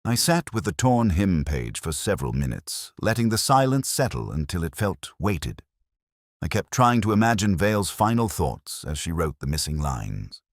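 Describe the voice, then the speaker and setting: A man voicing a brooding, introspective police detective who recounts a case in measured, grave, literary first-person narration for a mystery audio drama. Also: low-pitched, sing-song, dry recording, clean studio sound, exaggerated voice. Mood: pensive, grave.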